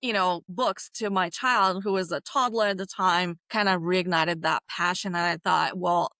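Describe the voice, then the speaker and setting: A middle-aged woman talks in a casual, animated way, laughing often and restarting her sentences. Her voice sits a little low for a woman. The sound is dry and close, with no room echo. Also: moderate pace. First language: Bulgarian. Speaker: guest author. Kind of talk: casual interview answers.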